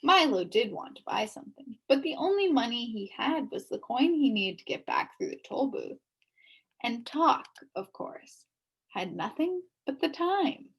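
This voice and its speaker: A woman reading a children's novel aloud with expressive, animated storytelling. Her voice sits fairly high and moves a lot, with a soft, breathy quality. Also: unhurried, low-quality recording. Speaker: storybook reader.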